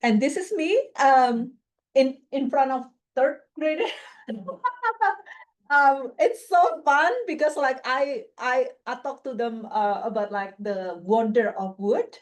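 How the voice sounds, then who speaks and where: A woman with a somewhat high voice whose English is not her first language. She gives an animated, casual talk with slides, full of fillers and quick restarts. She laughs often as she speaks to the room. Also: rough recording. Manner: chatty, many fillers and restarts. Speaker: guest speaker, faculty member.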